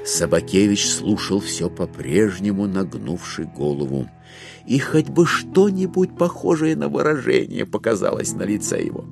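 A man narrating a dramatized classic satirical novel as a wry storyteller, with expressive, deliberate phrasing. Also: on the low side, gravelly, music in the background. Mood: wry, observant.

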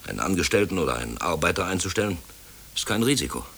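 An actor playing a brusque, overworked middle-aged company director in a German radio play, officious and self-pitying. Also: male voice, sits low, very animated, fast, gravelly, fairly bright tone, husky, exaggerated voice. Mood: bitter, brooding. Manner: brusque, officious, brooding when alone. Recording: hissy old radio play recording.

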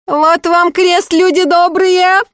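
Woman doing a hoarse raging village girl, high shrill and loud, storybook reading. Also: expressive, measured pace, no echo, clean studio sound. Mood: furious accusing. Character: furious young peasant woman Akulina, hateful.

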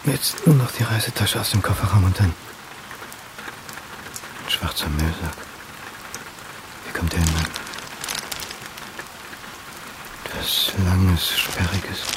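An actor playing an anxious male academic who talks to himself in halting, clipped phrases in a radio play. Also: deep voice, natural voice. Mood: uneasy, puzzled. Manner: halting, clipped self-talk. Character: anxious male history lecturer talking to himself. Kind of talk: radio play inner monologue. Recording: noisy outdoor scene with rain.

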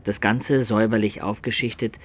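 An actor playing a loyal, observant companion who recounts a medieval campaign in measured, detailed radio drama narration. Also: male voice, on the low side, fairly even intonation, close to an everyday voice. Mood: measured storytelling.

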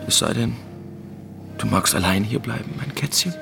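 An actor playing a trusting, good-natured provincial husband who dotes on his wife, earnest and gently coaxing, in a German radio drama. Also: lowish voice, animated, normal speed, gravelly. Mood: affably coaxing. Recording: music playing behind the voice.

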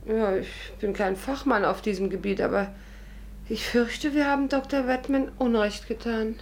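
A woman's voice: fairly high pitch, fairly even intonation, moderate pace, vocal fry, a little mellow. A composed, sceptical young woman. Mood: uneasy. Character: sensible, sceptical young woman, partner of an adventurer. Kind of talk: 1950s German radio drama acting. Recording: noisy 1950s radio recording.

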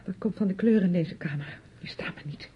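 A young actress playing a meek, literal-minded niece in a radio play, subdued and hesitant, with a husky, breathy voice. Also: female voice, fairly low pitch, a little fast. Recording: muffled old radio recording.

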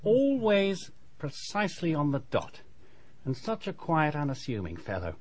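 Radio drama: English dentist, highish lively voice, talkative and pleased with himself. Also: male voice, slight background noise. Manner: chatty, brisk, self-assured bedside patter. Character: chatty, self-satisfied male English dentist, briskly professional.

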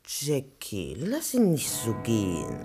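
A melodramatic, imploring gangster's lover. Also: female voice, extremely low pitch, wildly swooping pitch, slow, creaky, over music, heavily acted. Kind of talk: German radio play acting.

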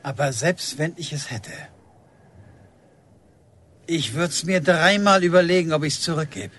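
A stern, higher-voiced village policeman. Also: measured pace, a bit bright. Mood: guarded, defiant. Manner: curt, defensive, menacing. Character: stern stubborn village policeman and domineering father. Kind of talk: radio drama acting.

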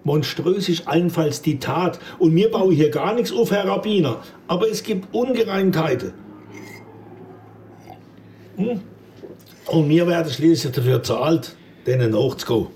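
An actor playing a suspicious, stubborn small-town police investigator with a southwest German accent from Baden, pointed and probing in a radio drama. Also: male voice, fairly high pitch, expressive, a little slow, long pauses, dark tone, a little hiss or hum. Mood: insistent, suspicious.

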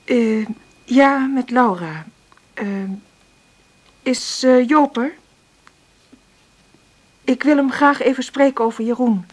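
A woman with a Netherlands Dutch accent playing an anxious, lonely single mother in a radio drama, halting and breathy. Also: high voice. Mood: tense, guarded.

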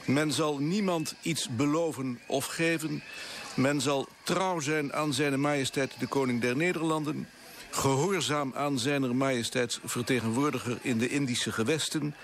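A man's voice: mid-range voice, a little slow, slight background noise, close to an everyday voice. A man playing a stiff colonial resident in a radio play, reciting in a formal, ceremonial manner. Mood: solemn, official.

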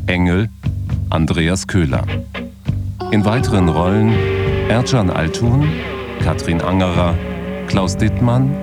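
Loud plucked guitar plays behind a deep male announcer. He reads scripted credits formally and slowly, name by name. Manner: formal, deliberate. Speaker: radio drama credits announcer. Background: guitar music.

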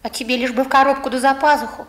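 A woman's voice: sits high, steady tone, rapid-fire, a bit bright. An actress playing a bold, scrappy young village girl in a 1960s Soviet radio drama, on an old recording. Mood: defiant.

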